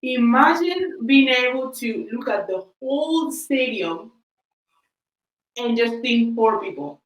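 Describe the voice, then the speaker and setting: A woman's voice: a little high, lively intonation, slow, echoey room, distant mic, rough recording. A woman whose first language is Spanish, telling a story on a podcast in a chatty way, acting out the quoted exchanges. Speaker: podcast host.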